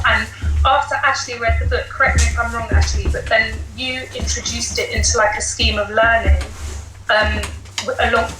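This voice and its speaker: A woman teacher explaining things conversationally as a guest on a podcast. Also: fairly high pitch. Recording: echoey room, faint background noise.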